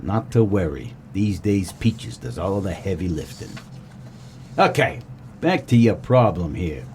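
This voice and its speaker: A man performing a wisecracking detective from a comedic noir radio play. His delivery is deadpan and world-weary, yet the pitch moves around a lot. There is faint noise behind him. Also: measured pace. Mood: deadpan narrating. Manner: deadpan, wry. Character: wisecracking world-weary private detective, grown son of a crook.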